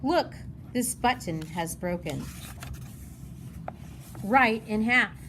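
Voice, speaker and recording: A woman voicing a gentle, caring mother of young girls in a storybook. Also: a little high, lively intonation, slow pace, noisy background. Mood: brisk, concerned.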